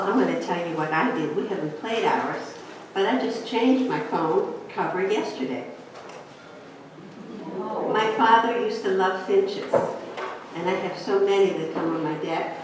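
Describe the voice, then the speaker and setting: A woman shares her life story in a relaxed, chatty way, slipping in light humor. Her voice sits a little low. The sound comes from a handheld mic in a church hall, with strong echo and a faint hiss in the background. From Greece. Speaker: guest speaker. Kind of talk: inspirational personal storytelling talk. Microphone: handheld microphone. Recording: echoey hall, picked up from a distance.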